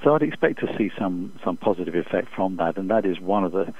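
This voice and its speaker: A man speaking as an expert economist in an interview over the phone, giving measured, formal, halting analytical answers. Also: sits low, lively intonation, slightly rough. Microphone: telephone. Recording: dull, muffled phone interview line.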